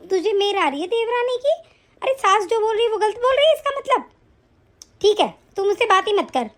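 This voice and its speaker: A woman playing a spiteful, scheming, hot-tempered mother-in-law in a family melodrama, scolding and complaining. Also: very high-pitched. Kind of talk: domestic family melodrama acting.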